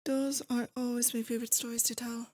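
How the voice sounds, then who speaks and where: A woman playing a possessive, affectionate demon lord in an intimate ASMR roleplay, speaking to her captive sweetheart in a doting, wistful, unhurried way. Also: fairly high pitch, little pitch movement, darkish tone, lightly acted. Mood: teasing, fond. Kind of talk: roleplay ASMR, intimate scripted monologue to the listener.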